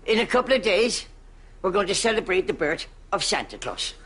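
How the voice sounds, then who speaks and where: High-voiced brash Irish mammy. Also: male voice, big pitch swings, fairly quick, bright, forceful tone, breathy edge, heavily acted. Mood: grandly cheerful. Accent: Dublin Irish. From Ireland. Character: brash, foul-mouthed older Irish mammy, warm and bossy. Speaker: lead actor of a sitcom. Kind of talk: broad studio sitcom acting in drag. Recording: audience noise behind studio sitcom dialogue.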